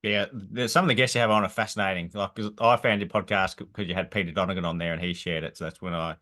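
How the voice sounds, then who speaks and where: A man hosting a podcast interview, with a deep, fairly monotone voice. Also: fast. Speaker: podcast host. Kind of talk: casual interview.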